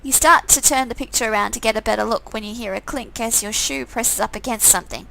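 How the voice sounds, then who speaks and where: A woman's voice: on the high side, fast talker, creaky, sharp tone, poor audio. A woman reading a visual novel game aloud, with sarcastic, amused asides in a casual style. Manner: sarcastic, amused commenting between read lines.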